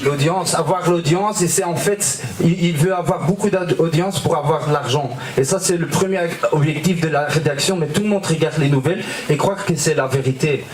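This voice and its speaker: A man whose first language is Dutch, with a Flemish Belgian accent, a filmmaker talking slowly in an interview and often searching for his words. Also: fairly high pitch, reverberant, a little hiss or hum, low-quality recording. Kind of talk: casual interview.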